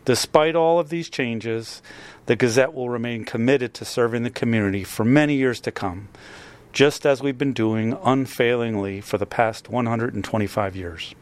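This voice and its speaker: A man's voice: mid-range voice, moderate pace. A man, a newspaper editor, reading a scripted announcement.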